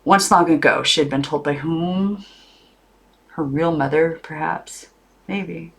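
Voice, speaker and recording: A woman narrating a storybook in an informal, expressive read, lingering deliberately on some words. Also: deep voice, vocal fry, slightly rough. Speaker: audiobook reader.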